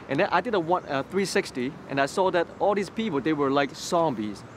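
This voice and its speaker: A young man from Hong Kong, Cantonese his native tongue, speaks in a slightly high voice. He talks haltingly, repeating words as he tells his story. It is recorded on a lapel mic outdoors, with street traffic clearly heard behind him. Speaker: interview guest. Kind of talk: personal testimony interview. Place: outdoors on a city street by a graffiti wall. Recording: street noise in the background. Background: city traffic noise.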